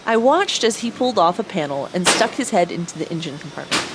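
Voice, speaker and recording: A woman's voice: fairly low pitch, big pitch swings, fast talker, heavy background noise. A woman playing an anxious young starship pilot who narrates her own story in a first-person audio drama. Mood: curious narration.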